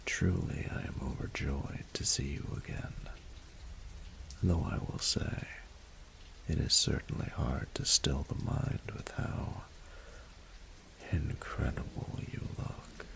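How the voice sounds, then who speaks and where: Man acting as a rumbling, gravelly, seductive dragon lord. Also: fairly even intonation, a bit bright, heavily acted, low-quality recording. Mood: adoring, sensual. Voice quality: very deep, gravelly, breathy half-whisper. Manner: slow, formal, archaic, seductive phrasing. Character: courtly, flirtatious adult male dragon in human form. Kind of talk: scripted romantic audio roleplay.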